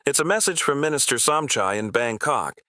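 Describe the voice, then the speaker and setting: An actor playing an earnest, polite, businesslike go-between in a scripted mystery drama. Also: male voice, medium pitch, animated, moderate pace, pushed and bright, no room sound, clean studio sound. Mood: urgent. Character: Benny, earnest, businesslike male go-between and writer.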